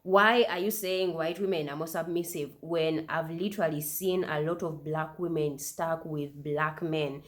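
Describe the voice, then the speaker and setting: A woman with a slightly low voice talks through her reaction video. She argues her point in animated bursts and keeps asking sharp, disbelieving questions. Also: measured pace, few pauses. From Uganda. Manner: animated, incredulous rhetorical questions. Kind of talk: opinionated commentary.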